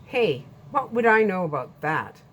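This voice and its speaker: A woman's voice: fairly low pitch, sing-song, measured pace, dull audio, a little hiss or hum. A woman voicing a frightened male boatman in a storybook reading, speaking in a stammering, nervous way.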